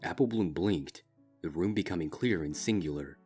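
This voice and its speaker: A man reading a dark fanfiction story aloud in a slow, grave, steady narrating style. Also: deep voice, breathy edge, faint music behind. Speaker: fanfiction reader.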